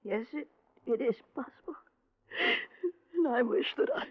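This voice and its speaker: A woman's voice: fairly high pitch, animated, measured pace. An actress playing an anxious, grieving middle-aged mother in a 1950s radio drama, speaking in a hesitant, troubled way. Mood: tearful. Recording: muffled, phone-like old radio recording.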